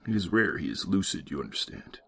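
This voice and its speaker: A man voicing an older male asylum doctor who speaks in a slow, consoling, condescending way. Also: deep voice, sing-song, gravelly, voice pushed down, full character voice. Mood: patronizing.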